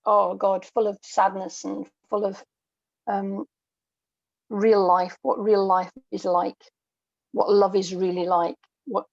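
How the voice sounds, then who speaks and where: A woman in her sixties speaking as an interview guest, thoughtful and hesitant, pausing mid-sentence as she reflects. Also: mid-range voice, vocal fry, no echo, lo-fi sound. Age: 60s. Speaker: guest, audio drama writer.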